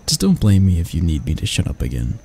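A man playing a grumpy but soft-hearted barista, flustered and flirty, stammering and teasing in a romantic ASMR roleplay. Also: low-pitched, huge pitch swings, quick pace, gravelly, husky, pitched below the performer's own voice, heavily acted. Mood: flirty, reluctant.

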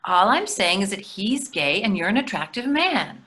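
Wife and mother, middle-aged woman actor: bright, forward voice, dry and teasing, matter-of-fact, video-call play reading. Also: medium pitch, big pitch swings, moderate pace. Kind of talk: readers theatre play reading. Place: indoors at home, on a video call.

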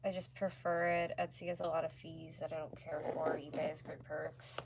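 A woman in her twenties with a slightly hoarse voice, chatting casually as she livestreams crafts from home. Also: sits low, little pitch movement, moderate pace. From North America. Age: 20s. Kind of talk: casual chat while painting. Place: small room at home, indoors. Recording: clearly noisy home livestream recording.

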